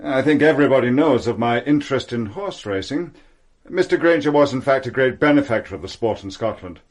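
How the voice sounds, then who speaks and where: An actor playing a pompous, defensive titled country gentleman who blusters haughtily in a radio drama. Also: mid-range voice, expressive, fairly quick, close to an everyday voice. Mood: hesitant.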